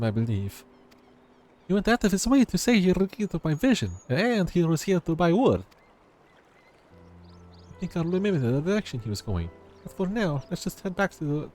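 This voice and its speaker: A man's voice: fairly high pitch, very animated, normal speed, pitched above the performer's own voice. A man voicing a plucky, determined young woman who seeks a throne, reading fantasy game dialogue aloud. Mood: resolute. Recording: loud music under the voice.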